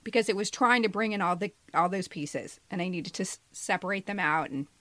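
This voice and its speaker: A woman's voice: fairly low pitch, fairly quick, pushed and bright, no echo. A woman in her fifties, a podcast co-host and writer, reflecting casually and candidly with a self-deprecating manner. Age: 50s.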